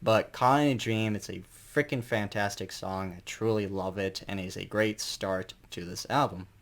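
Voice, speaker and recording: A man's voice: on the low side, normal speed. A man giving a casual, unscripted album review as an enthusiastic fan, rambling and colloquial, with some mild swearing.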